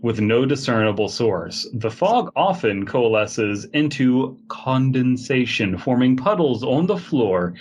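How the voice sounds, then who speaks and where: A man's voice: medium pitch, unhurried, few pauses, muffled recording. Casual, profane male podcast co-host. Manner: rambling, animated riffing, profane. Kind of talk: casual comedic chat with read-aloud passages.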